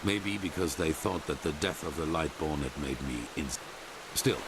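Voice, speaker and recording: An actor playing a grave old grandmaster of a holy order in a fantasy game, speaking in a solemn, formal, measured storytelling manner. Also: male voice, very deep voice, heavy background noise. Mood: solemn, haunted. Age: older. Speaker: video game character. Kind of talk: dramatic fantasy game dialogue.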